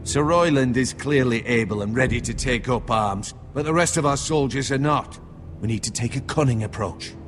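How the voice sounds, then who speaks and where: A loyal, pragmatic counsellor, played by a man in a fantasy game. His mid-pitched voice is firm and formal, arguing for caution. Music plays clearly behind him. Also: normal speed, natural voice. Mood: measured, loyal. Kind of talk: dramatic video game acting.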